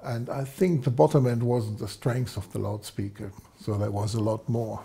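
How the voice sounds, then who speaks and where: Low-key older man, mellow and gravelly. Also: steady tone, normal speed. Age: 60s. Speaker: guest, loudspeaker designer. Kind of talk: technical interview explanation. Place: studio set, seated indoors.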